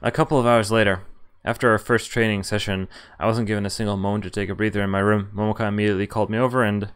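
A man's voice: lowish voice, little pitch movement, very fast, clean tone. A young man streaming a visual novel, reading the narration aloud and cutting in with wry, exasperated asides. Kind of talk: casual reading with commentary.

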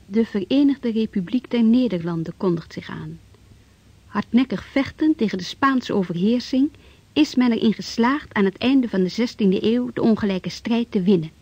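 A woman narrating a historical radio play in a grave, measured way. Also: a little high, warm tone, dry recording. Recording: dull, dry vintage radio recording.

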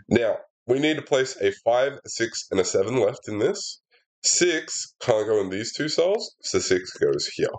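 Stuffy-nosed young man explaining a puzzle. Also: fairly low pitch. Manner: chatty and relaxed, many fillers, explains steps aloud. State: congested, stuffy sinuses. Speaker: puzzle setter and walkthrough host. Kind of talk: casual sudoku solving walkthrough. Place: indoor room at a desk. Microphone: laptop built-in mic.

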